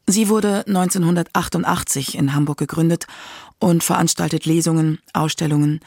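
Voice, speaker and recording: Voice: mid-range voice, steady tone, normal speed, vocal fry, a little mellow, breathy edge, no room sound, clean studio sound. A narrator reading scripted documentary narration for a radio feature. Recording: music behind the voice. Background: instrumental music.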